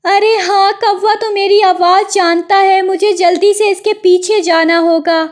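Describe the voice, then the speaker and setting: A woman's voice: very high voice, steady tone, measured pace. A woman voicing a fierce, protective mother bird in a cartoon, urgent and scolding. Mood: alarmed.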